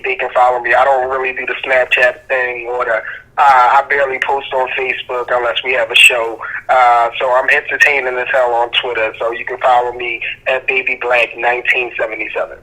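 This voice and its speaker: A man's voice: mid-range voice, sharp tone, rough recording. A man in his forties chatting casually as a sports podcast guest, laid-back and slangy. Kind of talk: casual chat.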